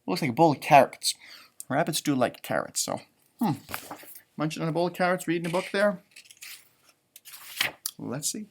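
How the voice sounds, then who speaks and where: An animated man hosts a storytime for young children. His pitch swings widely as he talks, with playful, rambling asides in the manner of a teacher reading aloud. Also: moderate pace, creaky, long pauses, a little hiss or hum.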